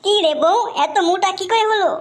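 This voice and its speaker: A woman's voice: very high-pitched, a little fast, noisy background. A woman voicing a crude, bossy, lecherous husband in a cartoon, blunt and teasing.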